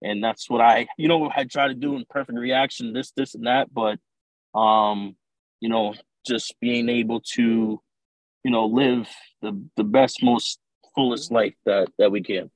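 A man's voice: medium pitch, steady tone, a little slow, no echo, rough recording. Meandering man with a dry close sound. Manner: meandering, many fillers. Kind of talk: casual chat.

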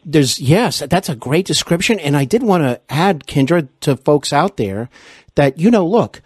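A man hosting a conversational interview, speaking politely and complimentarily with hesitant, measured phrasing. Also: on the high side, lively intonation, a little mellow, husky, dry recording, clean studio sound. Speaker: interview host.

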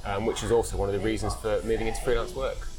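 Male interview guest, easygoing and upbeat, lowish voice, music playing behind. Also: flat delivery, rapid-fire, poor audio. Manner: laid-back, upbeat, says "man". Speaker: guest, freelance designer. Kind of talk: casual interview.

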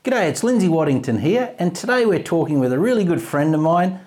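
A man hosting a casual interview podcast, friendly and quick to laugh along with his guest. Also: fairly high pitch, animated, a little mellow, soft background music. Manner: friendly, laughs along, says mate often. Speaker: podcast host.

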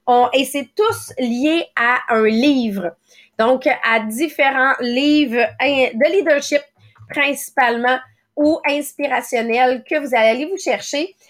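A woman in her late thirties with a Quebec French accent, hosting a motivational morning podcast in a quick, conversational way. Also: high voice. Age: late 30s. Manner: quick, conversational, acts out little quoted thoughts. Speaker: podcast host.

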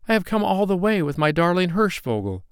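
A man's voice: high-pitched, sing-song, fairly quick, smooth, no room sound, clean studio sound, pitched above the performer's own voice. A man voicing a small, earnest, pleading boy with a trembling voice in a children's bedtime story.

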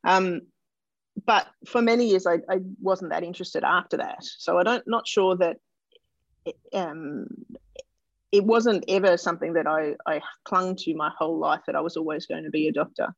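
Aussie woman w a bit of fry in her voice, thinks out loud, dry muffled recording. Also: on the low side, moderate pace, no echo. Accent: Australian. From Australia (Melbourne). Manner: thoughtful, hesitant, lots of fillers and restarts. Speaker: doctor and interview guest. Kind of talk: casual interview answers.

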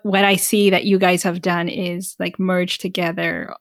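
Host: woman, lowish voice, affirming and gushing, dry close recording. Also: level tone, measured pace, dry recording. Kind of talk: interview, casual chat.

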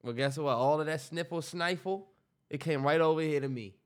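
A man co-hosting a casual late-night podcast, sounding tired from the late hour. He talks in a laid-back way and teases his co-host. His voice sits slightly high for a man. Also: a little fast. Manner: laid-back, teasing, joking.

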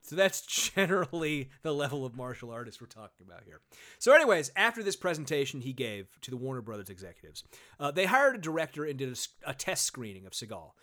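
A man's voice: medium pitch, big pitch swings, fast, a bit bright. A man hosting a casual comedy podcast, talking sarcastically, swearing freely and using lots of fillers. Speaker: podcast host.

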